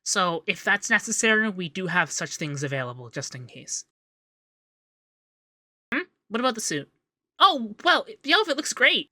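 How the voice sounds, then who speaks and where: A man playing a young, fussy, devoted femboy secretary in a scripted ASMR roleplay, chatty and expressive. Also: high voice, no room sound, clean studio sound, heavily acted. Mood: fussy, brisk. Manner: chatty, expressive, bashful asides. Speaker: voice actor in roleplay audio.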